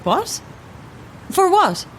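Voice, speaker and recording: A woman playing a chatty, eager actress, flippant and quick to apologise, in a naturalistic audio horror drama. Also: very high voice, very animated, slight background noise, full character voice. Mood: surprised, eager. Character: chatty, eager young actress, impressed but uneasy. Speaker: actor.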